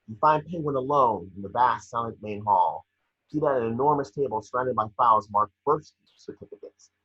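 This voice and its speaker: A man with a husky voice reading scripted scene narration at an online table read. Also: mid-range voice, sharp tone, muffled recording. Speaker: scene description reader.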